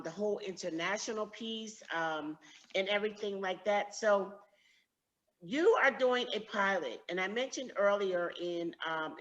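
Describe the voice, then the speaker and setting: A woman's voice: on the low side, fairly bright tone, muffled recording. A woman hosting a conversational interview in an effusive, complimentary manner. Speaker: interviewer, host.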